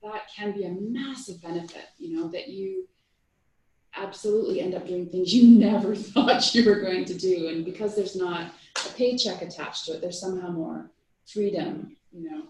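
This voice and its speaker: A woman's voice: mid-range voice, lively intonation, normal speed, darkish tone. A woman hosting a workshop round table in a chatty, friendly way, laughing now and then. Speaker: workshop host. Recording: echoey room, mic far off, faint noise.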